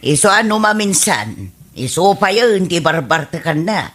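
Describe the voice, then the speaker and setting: A woman's voice: lowish voice, very animated, moderate pace, fairly bright tone. A woman with an Ilocano accent playing an exasperated, scolding middle-aged mother in a radio comedy drama.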